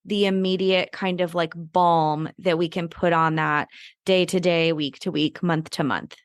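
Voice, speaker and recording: Young woman podcast host, low voice, dry close sound, lots of "you know". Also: fairly even intonation, measured pace, clean tone, no room sound. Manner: reflective, searching for words with fillers. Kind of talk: casual interview talk.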